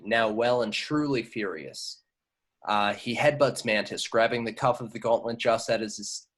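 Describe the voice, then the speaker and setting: Mid-pitched man reading action scenes. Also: a bit bright. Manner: stumbles over and repeats words. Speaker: stage directions reader. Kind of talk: scripted action narration at a table read.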